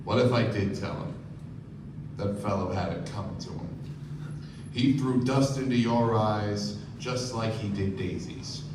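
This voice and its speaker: A man's voice: fairly low pitch, unhurried, lightly acted. A man playing an arrogant, defiant wealthy man in a staged radio play, blunt and self-justifying. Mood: defiant, bitter. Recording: echoey hall, distant mic, noisy.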